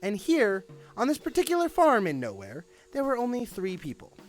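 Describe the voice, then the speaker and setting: Brash, high-pitched male detective. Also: huge pitch swings, moderate pace, faint music behind, full character voice. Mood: sarcastic, insistent. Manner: sarcastic, emphatic. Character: cynical, brash, impatient male police detective. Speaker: voice actor. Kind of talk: audio drama acting.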